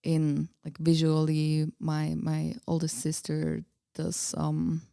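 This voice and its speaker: A woman speaks in a low register with plenty of creak. Her delivery is informal and a bit hesitant, like a musician chatting in a casual interview. The recording is close and dry. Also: slow, dark tone, dry recording. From Austria. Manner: laughs easily, hesitant and informal.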